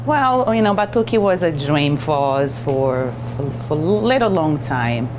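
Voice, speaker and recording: Low-voiced Brazilian woman, noisy background. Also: animated, measured pace. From Bahia, Brazil. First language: Portuguese. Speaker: chef and restaurant owner, interview guest. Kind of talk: casual interview talk. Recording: clear background noise, low hum.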